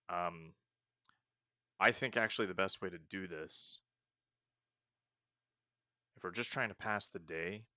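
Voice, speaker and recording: A man casually commenting on a game he is playing, planning his next moves aloud and often trailing off into soft mutters. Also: low-pitched, no room sound. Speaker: let's play host.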